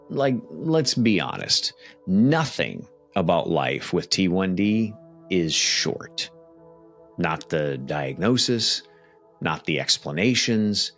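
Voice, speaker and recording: A man hosting a podcast, talking and reading his own writing in a conversational, emphatic way with dramatic pauses. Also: on the low side, fairly bright tone. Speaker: podcast host and author. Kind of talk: casual podcast talk, then storytelling book reading.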